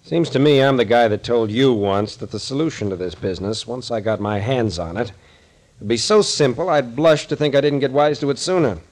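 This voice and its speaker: A man's voice: mid-range voice, brisk, a little mellow, natural voice. Man playing a blunt, skeptical investigator captain. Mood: wry. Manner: blunt, wry, colloquial interrogator. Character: gruff, skeptical tough-guy captain turned investigator. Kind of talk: 1940s radio acting. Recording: old scratchy 1940s radio recording.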